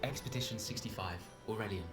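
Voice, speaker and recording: Guy acting a tired wistful expedition man in a game, lowish voice, bit off the mic, music under it. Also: fast, far from the mic, natural voice. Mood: solemn, hopeful. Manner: solemn, wistful. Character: weary, grateful expedition member recording a journal. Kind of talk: dramatic game voice acting, journal narration.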